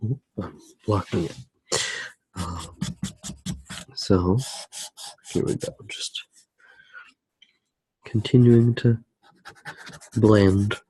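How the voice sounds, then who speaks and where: Low, slow-talking ASMR man. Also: dark tone, breathy edge, low-quality recording. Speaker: ASMR artist, host. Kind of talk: ASMR drawing demonstration.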